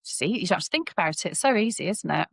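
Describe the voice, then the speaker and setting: Female healthcare professional, lower register, informal conversational delivery, very little room sound. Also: lively intonation, fast, creaky, fairly bright tone, no room sound, clean studio sound. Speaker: healthcare professional being mentored. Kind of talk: casual professional chat.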